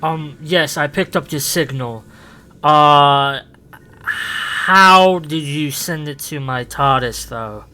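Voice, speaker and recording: A man's voice: a little high, slow, sharp tone, smooth. A man improvising as an eccentric male time traveller, hesitant and full of ums and uhs. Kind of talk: improvised roleplay.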